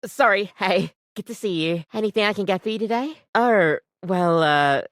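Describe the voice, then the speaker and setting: A woman's voice: medium pitch, moderate pace, fairly bright tone, no room sound, clean studio sound, lightly acted. A woman voicing an overworked, apologetic barista in a video game, chatty, rambling and self-deprecating, with a weary devotion to the job. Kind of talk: video game character acting, conversational.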